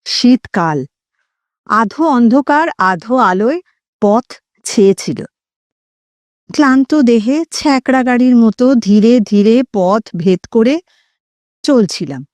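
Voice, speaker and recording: A woman narrates literary fiction in a fairly high, very animated voice. Her delivery is dramatic and deliberate, captured in a dry, close sound. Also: no room sound. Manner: dramatic, deliberate phrasing with acted dialogue. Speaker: audio story narrator and host. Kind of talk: expressive literary story narration.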